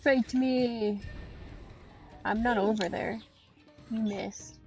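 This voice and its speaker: Woman, unhurried, gravelly fry, casual gameplay commentary, offhand, soft music behind. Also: fairly high pitch, fairly even intonation. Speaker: game streamer.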